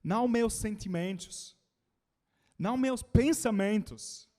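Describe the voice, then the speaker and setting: British male preacher, learner Portuguese, high animated voice, unhurried delivery. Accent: British English. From Britain. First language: English. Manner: animated, calls out to the congregation.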